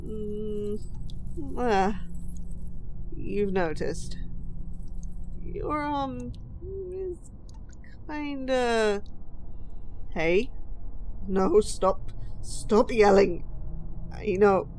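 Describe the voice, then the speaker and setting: A woman acting an apologetic, lovesick kidnapper in an audio roleplay, speaking to the listener in a halting, flustered way. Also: medium pitch, lively intonation, background noise. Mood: awkward, apologetic, then pleading, frantic.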